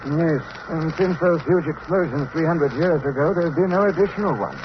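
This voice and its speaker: An actor playing a calm, learned professor in a vintage radio drama, explaining in a measured way on a muffled, noisy recording. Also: male voice, fairly high pitch, lightly acted. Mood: explanatory.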